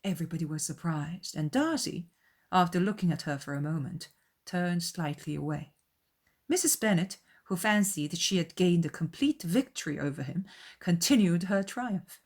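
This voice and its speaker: A woman's voice: on the low side, lively intonation, moderate pace, darkish tone. A woman reading scripted literary narration as an audiobook narrator.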